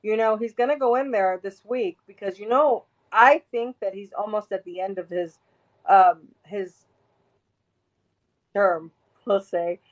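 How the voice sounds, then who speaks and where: A woman with a raspy voice, talking emphatically as an interview guest, rambling and restarting her sentences. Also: medium pitch, unhurried, dull audio. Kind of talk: casual interview talk.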